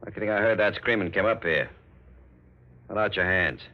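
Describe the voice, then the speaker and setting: An actor playing a gruff, bossy small-town Southern policeman, curt and suspicious, in 1940s radio acting. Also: fairly low pitch, fairly quick, natural voice. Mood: commanding. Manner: curt barked orders, dry drawling suspicion. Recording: muffled vintage radio recording.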